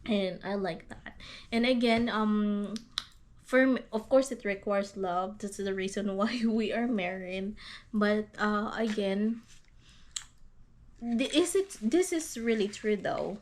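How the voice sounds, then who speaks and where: A woman from the Philippines with a Filipino accent, chatting casually on a couple's vlog, halting with fillers and restarts and laughing often. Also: mid-range voice, a little mellow. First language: Hiligaynon. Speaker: vlog co-host.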